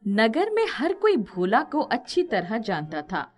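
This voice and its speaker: A woman's voice: fairly high pitch, wildly swooping pitch, measured pace. A woman reading scripted moral-story narration as a storyteller.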